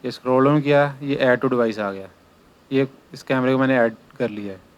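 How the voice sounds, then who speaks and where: A man with a clear, smooth voice talks through an informal how-to. He goes step by step like a working technician showing a job, and repeats his instructions as he goes. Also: mid-range voice, moderate pace, muffled recording. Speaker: electrician giving installation tutorial. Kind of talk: informal hands-on tutorial narration.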